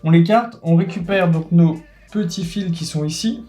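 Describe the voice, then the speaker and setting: A man's voice: sits high, moderate pace, mellow, soft background music. A man hosting a casual do-it-yourself tutorial, explaining each step plainly and joking with his co-host. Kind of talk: casual DIY tutorial with banter.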